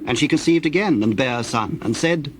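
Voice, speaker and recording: A man with a medium-pitched voice narrates scripture. His delivery is formal and measured, like scripted storytelling. Birdsong and cooing doves run clearly underneath. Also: expressive, noisy background.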